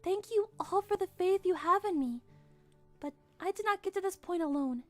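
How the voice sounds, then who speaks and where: A woman playing a kind, humble young pony queen in an animated drama, speaking softly and sincerely. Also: very high-pitched, normal speed, faint music behind. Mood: solemn gratitude. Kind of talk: animated fan drama dialogue.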